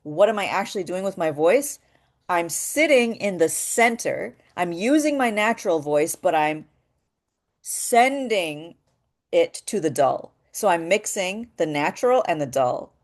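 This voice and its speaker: A woman with a naturally deep voice, leading a voice workshop in a thoughtful, searching way, with many pauses and restarts. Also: expressive. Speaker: voice workshop leader. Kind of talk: reflective workshop talk.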